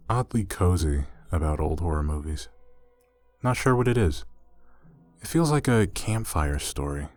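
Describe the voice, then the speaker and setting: A man acts out a young male roommate in an intimate ASMR audio roleplay, his voice deep and recorded very dry. He is easygoing and gently teasing, a little awkward, with casual phrasing and hesitant trailing asides. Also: no room sound. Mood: playful, cozy.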